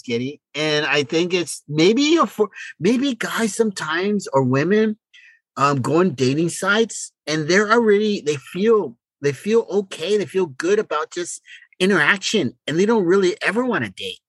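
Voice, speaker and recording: A man's voice: sits high, expressive, normal speed, no room sound, rough recording. A middle-aged man co-hosting a podcast in casual, joking chat, with a blunt, exasperated way of talking and some swearing. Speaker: podcast co-host.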